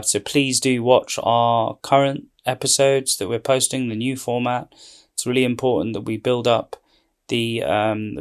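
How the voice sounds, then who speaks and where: Channel host, man: mid-range voice, unscripted casual outro, polite, somewhat halting delivery. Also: mid-range voice. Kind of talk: casual scripted-free video outro.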